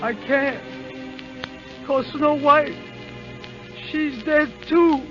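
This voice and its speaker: An actor playing an earnest little dwarf who stammers tenderly, in a 1930s radio drama. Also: male voice, very high-pitched, steady tone, very slow, heavily acted. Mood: mournful. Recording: lo-fi 1930s radio broadcast with music.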